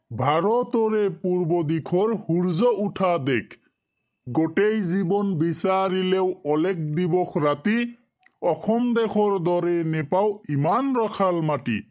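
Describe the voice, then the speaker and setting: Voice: medium pitch, a little mellow, lo-fi sound, natural voice. A performer voicing a strict male village schoolteacher in a cartoon, instructing pupils in colloquial speech. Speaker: teacher. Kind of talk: cartoon classroom lesson, recitation.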